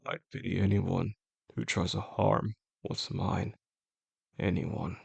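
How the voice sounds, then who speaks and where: A man playing a possessive, soft-spoken boss in an intimate audio drama, talking gently and with control straight to the listener in a low, gravelly voice. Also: level tone, no echo, heavily acted. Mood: protective, possessive. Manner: slow, soothing, gentle, controlled. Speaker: voice actor.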